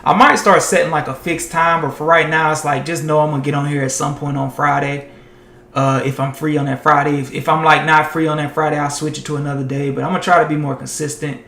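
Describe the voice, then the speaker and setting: Man, live-stream host, casual and rambling, voice getting hoarse, fairly high pitch, roomy echo. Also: flat delivery, quick pace, bright, forceful tone. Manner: loose and chatty, heavy on "like" and "man". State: voice tiring and going hoarse. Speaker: live-streaming tech content creator.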